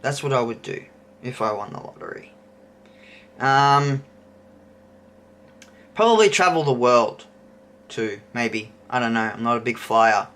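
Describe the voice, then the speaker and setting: Slow-talking Australian man, bright voice. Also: mid-range voice. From Queensland, Australia. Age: 30s. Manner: rambling, thinking aloud. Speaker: YouTuber host. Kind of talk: casual chat to camera.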